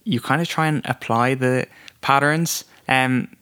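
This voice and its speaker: A young man raised first in Japan and then in Ireland gives easygoing answers in a clean, smooth voice. He meanders a little, padding his thoughts with fillers. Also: medium pitch, a little slow. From Japan, then Ireland from age six. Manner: relaxed, many fillers like "kind of" and "um". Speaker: interviewee. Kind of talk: casual interview answers.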